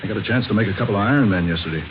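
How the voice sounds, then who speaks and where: An actor playing a broke, jobless, friendly wisecracker in a folksy, slangy 1940s radio drama style. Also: male voice, lowish voice, very fast, lightly acted. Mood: wry, resigned. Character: broke, jobless, friendly wisecracking man sleeping on park bench. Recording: muffled, noisy old radio recording.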